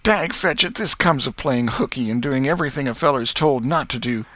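A man voicing an excitable, superstitious young boy in a storybook, speaking a rustic dialect with whispery urgency. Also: mid-range voice, brisk, dry recording, pitched above the performer's own voice. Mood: remorseful.

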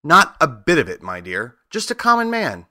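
A man's voice: on the high side, wildly swooping pitch, normal speed, bright, forceful tone, no room sound, studio quality, pitched above the performer's own voice, heavily acted. A man voicing a meek little old fraud of a wizard in a storybook, nervous and pleading in a trembling voice. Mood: hushed confiding.

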